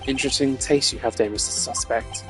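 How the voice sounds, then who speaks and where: A man's voice: mid-range voice, steady tone, fairly quick, music in the background, close to an everyday voice. A man voicing a haughty, precise prosecutor in a video game, formal, pompous and dryly sardonic. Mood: dry, mocking.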